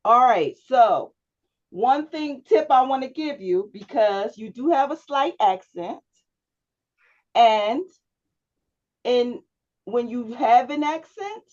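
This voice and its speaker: A woman's voice: a little high, animated, slow pace, pauses often, a little mellow, lo-fi sound. Woman coaching a student over video call. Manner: coaching, checks understanding with frequent "right?". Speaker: public speaking instructor. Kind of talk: one-on-one feedback on a video call.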